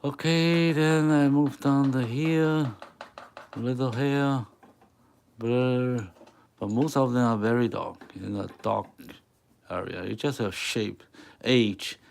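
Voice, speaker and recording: A man whose first language is Mandarin Chinese, speaking English with a Mandarin accent, teaching chalkboard drawing live in halting, word-searching English. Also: medium pitch, steady tone, creaky, a little mellow, slight background noise. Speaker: art teacher.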